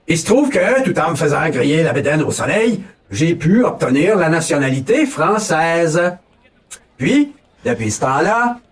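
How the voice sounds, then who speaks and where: A man's voice: fairly high pitch, distant mic. A man from Quebec with a Québécois accent, giving a folksy, mock-solemn comic campaign speech as a pretend candidate. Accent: Québécois French. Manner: folksy, mock-solemn, keeps tossing in a rising "ok, là?". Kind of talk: comic political address.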